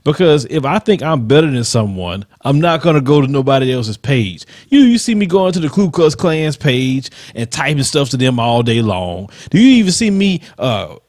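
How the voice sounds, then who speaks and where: A man with a Southern US accent and a faint lisp takes on his detractors one comment at a time, combative and emphatic. His pitch rises and dips animatedly as he presses each point. The sound is dry and close, with no room around it. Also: very animated, moderate pace, few pauses, darkish tone, dry recording. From Southern United States. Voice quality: slight lisp. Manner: combative, emphatic, reads comments aloud then rebuts. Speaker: channel host reading troll comments. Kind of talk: casual commentary.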